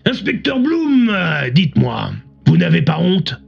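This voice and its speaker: A man playing a smug, theatrical male radio debate host in an audio drama, pompous and emphatic, with a husky, gravelly voice through a radio filter. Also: sits high, sing-song, measured pace, pitched above the performer's own voice, full character voice. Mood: accusing. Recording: muffled radio broadcast sound, music behind.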